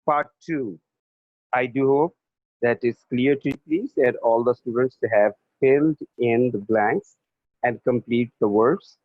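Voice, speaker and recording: Slow, spelling-out male teacher, tinny sound. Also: mid-range voice, no echo. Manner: repeats and spells out each answer. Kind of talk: slow remote class lesson, spelling words aloud.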